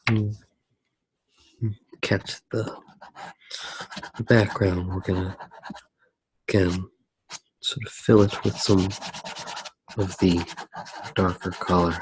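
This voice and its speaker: A soft-spoken man narrating an ASMR drawing demonstration in an unhurried, hesitant way. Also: sits low, background noise. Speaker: ASMR artist, host.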